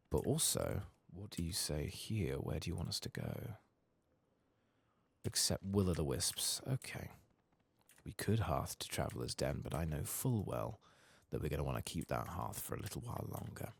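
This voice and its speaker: Male ASMR gaming host: bass register, fry-laden, soft and murmured, with lively intonation. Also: moderate pace, no room sound. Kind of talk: soft-spoken ASMR game playthrough with whispering.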